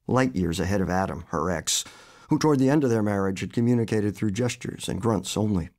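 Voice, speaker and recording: An older man reads his own literary short story aloud. His voice sits on the low side yet rises and falls a great deal as he tells it, measured and expressive. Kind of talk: literary fiction reading.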